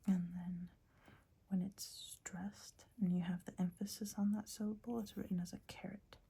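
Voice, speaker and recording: A woman whispering a phonetics lesson in an ASMR style, hesitant and often pausing to search for words. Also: medium pitch, little pitch movement, mellow. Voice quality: breathy. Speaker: teacher.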